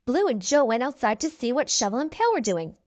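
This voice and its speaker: A woman's voice: fairly high pitch, very animated, fairly quick, darkish tone, no room sound. A woman narrating a children's book aloud, expressively and with careful enunciation. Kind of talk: read-aloud storytelling for young children.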